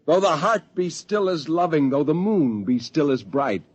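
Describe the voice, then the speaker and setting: An actor playing a brooding, literate spaceman turned zealot, intense and deliberate, in a 1950s radio drama. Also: on the high side, animated, breathy edge. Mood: elegiac recital. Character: brooding, poetic male spaceman turned cold-blooded zealot. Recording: dull vintage 1950s radio recording.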